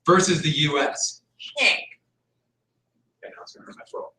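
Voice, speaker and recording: A man's voice: fairly high pitch, expressive, moderate pace, bright, forceful tone. A male history teacher giving a conversational classroom lecture, repeating key points for emphasis. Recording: echoey room, mic far off.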